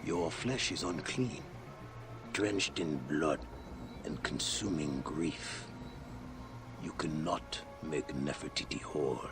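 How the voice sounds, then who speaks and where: Very deep solemn spirit. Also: male voice, slow, long pauses, over music. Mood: ominous judgement. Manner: ominous, solemn. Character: solemn, judging male guardian spirit of the afterlife.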